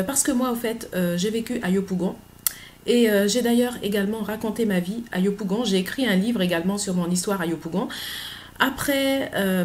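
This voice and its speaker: A middle-aged woman, talking earnestly in a personal vlog, pausing often with hesitant fillers. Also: medium pitch, a little mellow, a little hiss or hum. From Côte d'Ivoire. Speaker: author.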